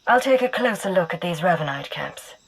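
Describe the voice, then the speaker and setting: An actress playing a cold, commanding, quietly menacing Imperial agent in a video game cutscene. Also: female voice, fairly low pitch, expressive, a little fast, low-quality recording. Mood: composed.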